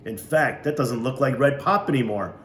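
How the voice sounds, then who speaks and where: A man's voice: medium pitch, moderate pace, a bit bright, natural voice. A man voicing a jovial older grandfather who drives and teases in a wry, folksy way. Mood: teasing. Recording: roomy echo, faint hiss.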